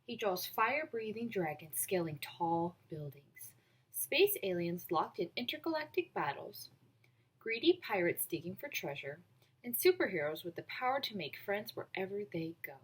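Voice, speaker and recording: Expressive woman reading a picture book, slightly husky. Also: medium pitch, normal speed, low-quality recording. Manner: expressive storytelling with acted dialogue. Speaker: read-aloud volunteer reader. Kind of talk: children's picture book read-aloud.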